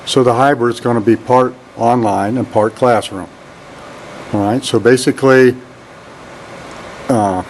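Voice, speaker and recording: A man teaching networking, talking in a deep monotone and often saying "all right" as he walks a handheld camera through an empty computer lab. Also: slight background noise, poor audio. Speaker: networking instructor. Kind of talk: casual classroom tour walkthrough. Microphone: handheld camera mic while walking.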